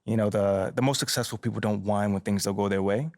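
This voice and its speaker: Man acting as laid-back young American athlete, low-voiced. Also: steady tone, quick pace, a little mellow, dry recording, clean studio sound, lightly acted. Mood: relaxed, confident. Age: young adult. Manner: laid-back, friendly, informal slangy talk. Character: easygoing, polite young male pro basketball player. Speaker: professional basketball player. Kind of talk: casual conversational video game cutscene acting.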